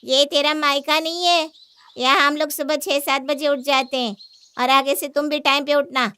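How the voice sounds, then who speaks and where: A woman's voice: sits high, fairly even intonation, moderate pace, bright, forceful tone, no echo. A woman playing a scolding, exasperated mother-in-law in a cartoon family story, nagging and sarcastic. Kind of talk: Hindi cartoon story acting.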